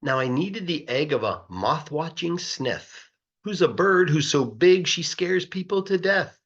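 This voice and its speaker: A man reads a children's story aloud in an expressive, sing-song rhythm, stretching words for emphasis like a teacher reading to a class. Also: fairly high pitch, unhurried, highs cut off. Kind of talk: animated rhyming storybook narration.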